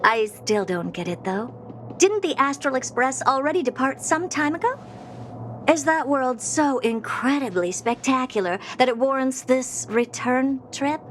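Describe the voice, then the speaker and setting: A woman's voice: sits high, lively intonation, a little slow, soft background music. A woman voicing a haughty, exasperated genius scientist in a video game, imperious, curt and condescending. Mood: dismissive. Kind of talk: video game character acting.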